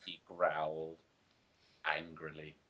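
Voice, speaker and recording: A man's voice: very deep voice, monotone, slow. A young man reading aloud over-dramatically with emphatic outbursts, on a lo-fi mic with some noise. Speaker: player in a comedic dramatic reading game. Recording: dull lo-fi mic, faint noise and clicks.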